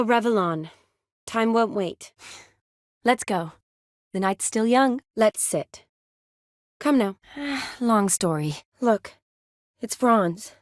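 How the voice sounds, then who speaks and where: An actress playing a young army medic in a fantasy video game, a warm, playful friend with an expressive, teasing delivery. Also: female voice, mid-range voice, dry recording, clean studio sound, lightly acted. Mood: calm, friendly. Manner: expressive, teasing, sighs and soft chuckles.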